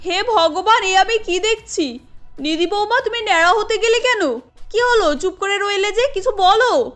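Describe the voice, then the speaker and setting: Cartoon mother-in-law, female, voiced by a woman: demanding, dismayed, bright and very high. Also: animated, unhurried, faint music behind, exaggerated voice. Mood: shocked, demanding. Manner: scolding, demanding. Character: stern, dismayed mother-in-law, head of the household. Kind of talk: cartoon story dialogue.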